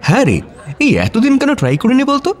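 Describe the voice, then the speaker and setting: An actor playing a playful, pleading young husband in a radio romance, teasing and insistent in a conversational way. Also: male voice, very high-pitched, very animated, quick pace. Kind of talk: Bengali radio romance acting. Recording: music bed under the voice.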